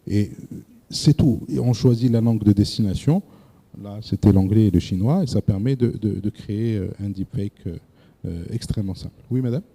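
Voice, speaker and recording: A male presenter lecturing a live audience, hesitant, often repeating words and filling pauses, asking the room questions. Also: fairly low pitch, dark tone, husky. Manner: addresses the audience directly with questions. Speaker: workshop presenter.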